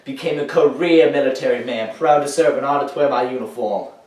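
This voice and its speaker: A young man acting a troubled, grieving war veteran in a theatrical monologue, halting and emphatic, swinging between hushed and loud delivery. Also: mid-range voice, natural voice. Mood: proud, intense. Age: teenager or young adult. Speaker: high school dramatic interpretation competitor. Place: echoey indoor room, recorded from far off. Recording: echoey room, distant microphone.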